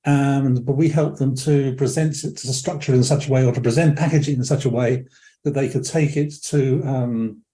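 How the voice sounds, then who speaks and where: An older man from England, croaky from a cold, hosting a webinar in a rambling way with many ums and self-corrections over a video call. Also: medium pitch, steady tone, moderate pace, dark tone. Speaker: webinar presenter. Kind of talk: relaxed webinar talk.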